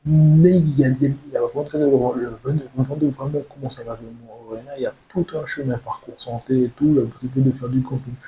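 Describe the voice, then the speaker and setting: A young man from talks through his thoughts in an unscripted monologue. His delivery is halting and earnest, with frequent repeated words and emphatic bursts. The pitch is mid-range for a man, and a light hiss runs through the amateur recording. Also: lo-fi sound. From French Guiana. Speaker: video creator telling his own story.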